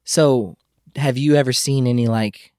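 A relaxed host in casual chat, laughing often and asking rambling questions. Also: mid-range voice, a little slow, no room sound, clean studio sound.

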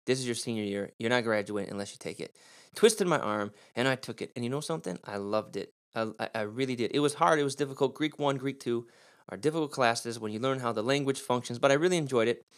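A man's voice: medium pitch, fast. Earnest Midwestern man teaching Bible Greek. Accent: American Midwest. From Michigan, USA. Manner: earnest, repeats words, many fillers. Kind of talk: casual teaching talk.

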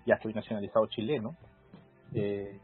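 Peruvian guy in his 40s, bit low, husky, slow thoughtful talk over a tinny call line. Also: steady tone, faint music behind. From Peru. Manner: measured, reflective, many fillers. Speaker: law professor guest. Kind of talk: casual interview. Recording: phone-like call audio.

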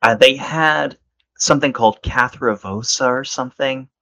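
A man's voice: medium pitch, moderate pace, pushed and bright. A man hosting a podcast interview, speaking casually and hesitantly with lots of fillers. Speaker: podcast host. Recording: dull lo-fi call audio.

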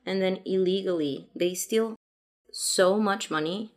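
A woman's voice: medium pitch, unhurried. Mexican woman chatting in accented English. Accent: Mexican Spanish. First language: Spanish. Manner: chatty, laughs often, explains at length. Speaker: podcast co-host. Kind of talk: casual chat.

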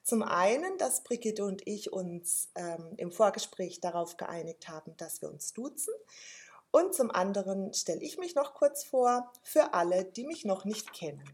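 A woman's voice: mid-range voice, animated, a little slow, a little mellow. A woman who reads a formal introduction and then interviews her guest. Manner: formal, carefully read. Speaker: podcast host.

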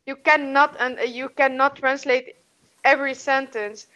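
A woman's voice: sits high, fairly even intonation, normal speed, bright, forceful tone, highs cut off. A woman whose first language is Dutch, speaking English with a Dutch accent, answering interview questions in a hesitant way with many restarts. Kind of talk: casual conversational interview.

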